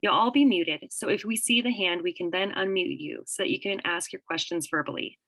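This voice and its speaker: A woman moderating a webinar, reading the opening announcements in a brisk, businesslike way. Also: lowish voice, a bit bright, no room sound, rough recording.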